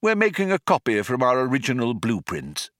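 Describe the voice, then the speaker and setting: Man voicing a courteous old alien planet builder, swooping pitch. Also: huge pitch swings, unhurried, fairly bright tone, no room sound, studio quality, heavily acted. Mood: cheerful. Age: older. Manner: polite, mild, cheerfully understated. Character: elderly alien planet builder, genial, courteous, mildly vague. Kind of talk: audiobook character voice.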